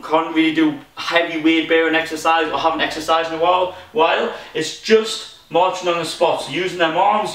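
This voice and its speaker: A young man with a thick Geordie accent, coaching a home workout from his front room, talking fast and restarting sentences when he gets excited. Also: on the high side. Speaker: fitness coach. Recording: echoey room, voice from far off.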